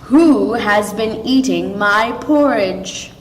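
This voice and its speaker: A woman voicing a big father bear in a storybook, asking in a very loud voice. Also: medium pitch, takes it slowly, echoey room, in a large hall, a little hiss or hum, lightly acted, low-quality recording. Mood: indignant.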